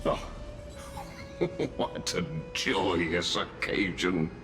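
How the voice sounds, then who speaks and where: A man's voice: deep voice, drawn-out words, over music. An actor playing a courteous, theatrical male official in a video game, with formal and ornate politeness. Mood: ceremonious, welcoming. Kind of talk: dramatic game voice acting.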